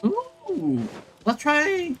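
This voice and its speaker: A woman's voice: high voice, wildly swooping pitch, drawn-out words, a little hiss or hum. A woman giving casual, rambling and chatty commentary while playing a video game as a streamer.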